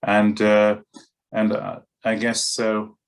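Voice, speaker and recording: A man's voice: lowish voice, monotone, slow, gravelly, clear, resonant tone. A middle-aged man, a paediatric doctor, answering interview questions in a hesitant way, full of fillers and restarts. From Kenya and West London.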